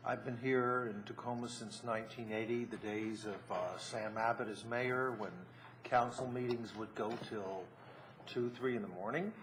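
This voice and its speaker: A mature man reminisces at a public meeting. His pitch is average for a man. Clear noise sits behind his voice. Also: fairly even intonation, moderate pace. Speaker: long-time resident, committee applicant. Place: indoor council meeting room. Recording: clearly noisy meeting room.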